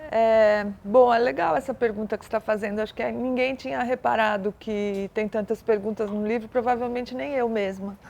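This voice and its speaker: An older woman from Brazil, a writer answering interview questions in a thoughtful, measured way. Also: fairly high pitch, fairly even intonation, creaky, a little mellow. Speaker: writer guest.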